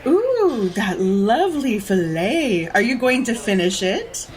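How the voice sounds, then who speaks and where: A woman's voice: on the high side, big pitch swings, slow pace, darkish tone. An actress playing a brash, greedy woman of about thirty in an audio drama, brusque and knowing. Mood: greedy relish. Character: plump, brash, greedy thirty-year-old woman, inhabited by a man's soul. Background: faint music.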